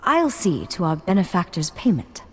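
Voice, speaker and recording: A woman's voice: on the low side, huge pitch swings, normal speed, breathy edge, exaggerated voice. An actress playing a refined, flirtatious noblewoman in a video game cutscene, speaking with formal poise. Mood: coolly flirtatious. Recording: long hall reverb, music behind.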